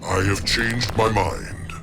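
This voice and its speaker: Ancient plant monster, low gravelly voice, grave formal nature guardian, unhurried, video game, music underneath. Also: male voice, fairly even intonation. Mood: solemn. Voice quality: deep, gravelly.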